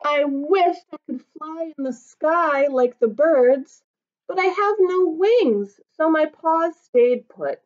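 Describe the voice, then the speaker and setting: A woman's voice: high voice, animated, takes it slowly, a little mellow, voice pushed up. A woman voicing a tiny three-pound male dog who sadly wishes he could do what bigger animals do, in a plaintive sing-song storybook way. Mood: wistful. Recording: dull lo-fi recording.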